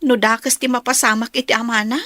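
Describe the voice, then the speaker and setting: A woman plays a worried, cautioning friend in an audio drama. She speaks in a slightly high voice with an Ilocano Filipino accent, close and dry. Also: level tone, quick pace, bright, forceful tone, no room sound. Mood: worried, hushed.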